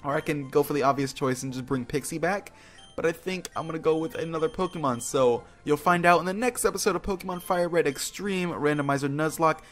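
A man's voice: on the high side, fairly quick, fairly bright tone. A man giving casual gameplay commentary as a video host, joking and self-deprecating, with informal talk and mild swearing. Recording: game music behind the voice. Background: video game music.

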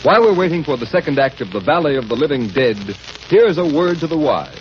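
Animated, high-voiced American radio announcer, man. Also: fast. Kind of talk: scripted 1930s radio advertising. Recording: muffled, noisy vintage radio broadcast.

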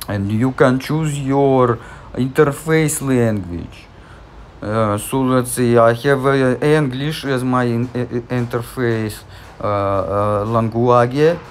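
A man's voice: medium pitch, a little hiss or hum. A man with a Russian accent walking through an app tutorial, hesitant and full of fillers and restarts. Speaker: channel host. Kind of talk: screen-recorded app tutorial.

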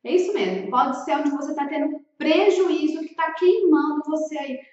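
A woman's voice: sits high, expressive, normal speed, darkish tone. A Brazilian woman in her thirties giving an emphatic, didactic instructional talk to camera as a consultant, often posing rhetorical questions. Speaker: food-service consultant and video host. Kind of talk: instructional vlog addressed to restaurant owners. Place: small indoor room with bookshelf. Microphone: large microphone with pop filter in front of her. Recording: echoey room, distant, slightly dull sound.

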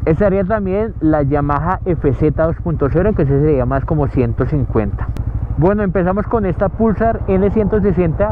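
Young man, chatty explainer, outdoors, thin phone-like sound, faint street noise. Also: fairly high pitch. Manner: chatty, direct, addresses viewer. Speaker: motorcycle vlogger. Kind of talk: casual vlog explainer. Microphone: helmet or camera mic on a motorcycle. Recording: tinny lo-fi helmet mic. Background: faint street and traffic noise.

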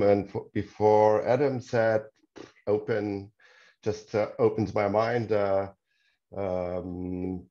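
A low-voiced man with a German accent speaks haltingly, searching for his English words in an online meetup. The audio comes through a video call and sounds dull and lo-fi. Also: dark tone. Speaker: audience member asking a question.

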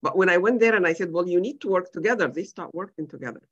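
A woman's voice: lowish voice, expressive, quick pace, slightly rough. A middle-aged woman from Egypt talks conversationally in a panel on a video call. The sound is a little dull and lo-fi, as picked up by a computer microphone. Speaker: physician panelist.

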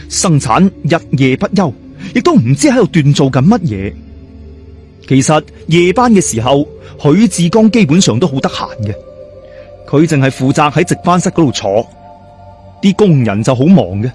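A man's voice: a little high, a little mellow. A man telling a ghost story in a dramatic, colloquial and suspenseful way.

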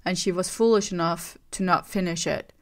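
A woman voicing a young male villain who speaks calmly, flatly and with menace. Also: fairly low pitch, normal speed, lightly acted. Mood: cold. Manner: calm, flat, menacing.

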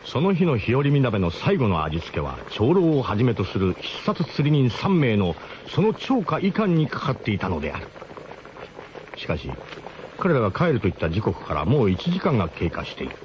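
A man's voice: medium pitch, very animated, moderate pace, noisy background, lightly acted. A man playing a camper who narrates a comic radio drama in a grandiose, mock-solemn way with colloquial turns. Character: male camper grandly recounting his gang's ramshackle expedition.

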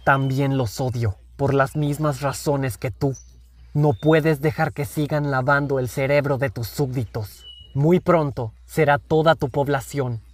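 Man as a confident, smooth-talking cartoon leader. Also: medium pitch, natural voice. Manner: casual, persuasive, faintly smug. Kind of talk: animated fan dub acting.

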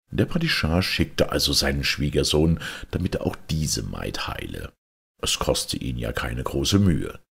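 Deep, husky male storyteller. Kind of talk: expressive fairy-tale narration.

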